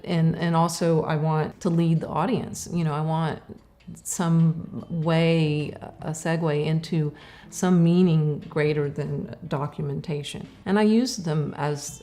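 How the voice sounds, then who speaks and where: Low-voiced reflective middle-aged woman. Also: warm tone. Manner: reflective, halting, with many fillers and restarts. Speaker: artist. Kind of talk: casual interview talk.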